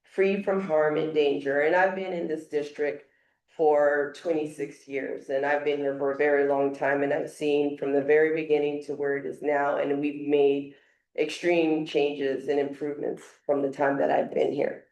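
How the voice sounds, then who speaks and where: Low-voiced woman giving a formal statement. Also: normal speed. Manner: steady, formal, self-described loud voice. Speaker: teachers' union president, educator. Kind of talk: prepared public comment. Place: school board meeting room. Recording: echoey meeting room.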